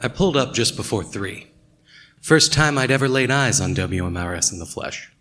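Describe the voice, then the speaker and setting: A man's voice: mid-range voice, lively intonation, normal speed, bright, forceful tone, soft background music. A man playing a wry, brooding small-town detective, narrating an audio drama in a dry, hard-boiled manner. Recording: clear background noise and ambience.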